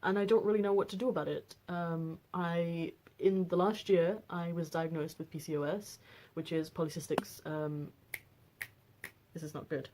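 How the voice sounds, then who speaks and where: Deep-voiced woman in her 20s, rambly vlog chat, lots of ums and restarts. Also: steady tone, normal speed, darkish tone, a little hiss or hum. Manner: rambling, frequent fillers and restarts. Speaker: vlogger. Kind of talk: casual personal vlog.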